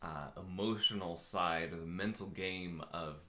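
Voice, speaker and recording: A man's voice: deep voice, a little slow, sharp tone. A man in his thirties co-hosting a casual live talk, thoughtful and hesitant, with frequent fillers and restarts. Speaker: co-host and coach. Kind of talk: casual conversation.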